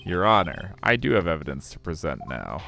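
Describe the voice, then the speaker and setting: A man voicing an earnest, determined young defense attorney in a courtroom video game, dramatic and deliberate. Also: sits low, big pitch swings, vocal fry, bright, forceful tone, faint music behind, exaggerated voice. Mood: determined, grave. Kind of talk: voiced visual novel playthrough.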